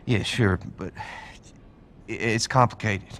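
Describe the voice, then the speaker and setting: A man's voice: lowish voice, takes it slowly, a bit bright, slight background noise. An actor playing a desperate, pleading American arms dealer in a video game, stammering and evasive as he is interrogated. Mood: nervous pleading. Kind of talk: dramatic video game acting.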